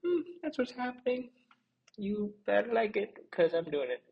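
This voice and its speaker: A man's voice: sits high, very animated, moderate pace, creaky, slightly rough, highs cut off, pitched above the performer's own voice, full character voice. A man voicing a greedy, scheming tycoon villain in a bedtime story, boasting in a raised, theatrical voice. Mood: gloating.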